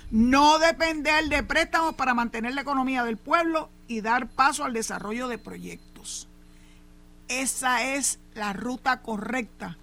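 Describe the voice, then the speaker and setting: A woman's voice: a little high, fairly bright tone. A Puerto Rican woman hosting a show, giving emphatic opinion commentary on local news and dropping in English asides. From Puerto Rico.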